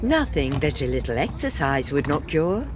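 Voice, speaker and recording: A woman's voice: low voice, very animated, moderate pace, a little mellow, a little hiss or hum. A woman acting a sensible, dryly exasperated middle-aged wife in a comic period farce. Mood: dryly practical.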